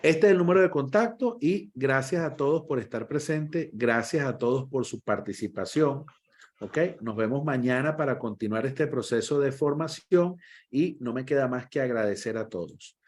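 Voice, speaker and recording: A man, a first aid instructor, closing an online class over a video call in a lively, encouraging way and repeating himself often. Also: mid-range voice, normal speed.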